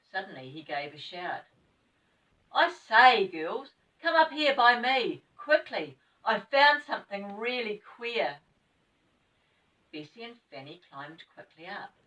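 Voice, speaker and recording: Woman storyteller, children's read-aloud, bright forceful tone, very varied intonation, unhurried, slightly distant mic. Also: low-pitched. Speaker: storybook reader.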